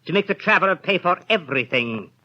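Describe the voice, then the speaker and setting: A grasping, crafty innkeeper in an old radio drama. His voice sits fairly high and he reels things off emphatically. The sound is dull and dated. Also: expressive, fast, sharp tone, heavily acted. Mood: sly, relishing. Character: cunning, greedy male innkeeper reciting his code. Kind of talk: 1930s radio acting. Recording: muffled old 1930s radio recording.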